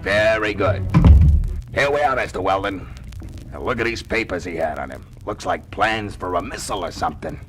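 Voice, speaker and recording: A man's voice: low voice, moderate pace, over loud music, natural voice, low-quality recording. An actor playing a tough gunman henchman in an audio adventure, giving curt, threatening orders. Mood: cool, commanding. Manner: curt, bossy, menacing. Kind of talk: 1970s audio adventure acting.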